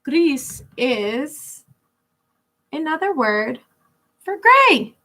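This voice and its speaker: A woman with a high, lively voice reads a children's picture book like a schoolteacher. She takes her time, stretching key words and stopping to explain them. The delivery is expressive and clearly aimed at young listeners. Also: very slow. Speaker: elementary school teacher reading a picture book. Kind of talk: children's read-aloud with explanatory asides.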